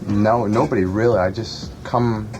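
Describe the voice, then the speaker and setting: A man with a fairly low voice answers casually and haltingly as a band member in an interview. The old eighties radio recording carries clear noise. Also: fairly even intonation. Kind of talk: casual chat. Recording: noisy archival 1980s radio recording.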